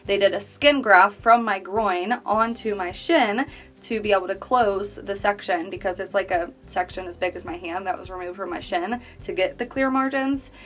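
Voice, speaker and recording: A woman's voice: medium pitch, normal speed, a bit bright. A woman in her late twenties, a cancer survivor, earnestly telling her personal story in a conversational way. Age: 20s. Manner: earnest, conversational, speaking straight to viewers. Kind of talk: personal testimonial.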